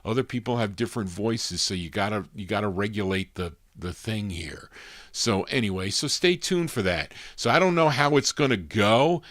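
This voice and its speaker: A man with a baritone voice chatting casually and in a rambling, folksy way as the host of a live vintage record show. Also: lively intonation, moderate pace, fairly bright tone, dry recording, studio quality. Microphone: studio microphone.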